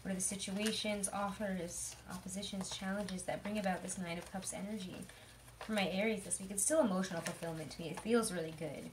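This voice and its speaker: A young woman with a hoarse voice giving a chatty, rambling tarot reading, full of fillers. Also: medium pitch, steady tone, fairly quick, a little hiss or hum, rough recording. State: hoarse from illness. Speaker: tarot reader. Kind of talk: casual tarot reading monologue.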